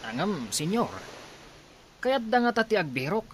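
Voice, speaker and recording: A man's voice: high-pitched, big pitch swings, a little slow, husky, exaggerated voice. A man playing a nervous, reluctant servant in an audio drama, timid and protesting. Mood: afraid, reluctant. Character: nervous, reluctant male underling answering his master.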